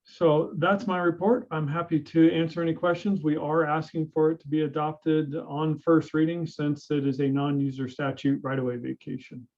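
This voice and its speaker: A public works director, a man with a slightly raised pitch, walks a city council through a report in a measured way. He pauses often and fills gaps with "uh" and "um". The recording is a bit dull and lo-fi. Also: level tone, a little mellow. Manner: measured, hesitant with frequent fillers. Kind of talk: presenting a staff report at a council meeting.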